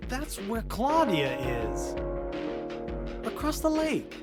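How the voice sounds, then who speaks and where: Sly, theatrical smug man, slow swooping delivery. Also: high-pitched, huge pitch swings, heavily acted. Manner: smooth-tongued, mocking, theatrical. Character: sly, smug, worldly man of a religious cult. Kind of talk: video game cutscene acting. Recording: music under the voice. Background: loud music.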